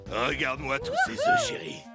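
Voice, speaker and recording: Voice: medium pitch, wildly swooping pitch, moderate pace. An actor voicing a big, sly, gloating male cartoon animal bully with a menacing drawl. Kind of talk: cartoon dub acting. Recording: loud music behind.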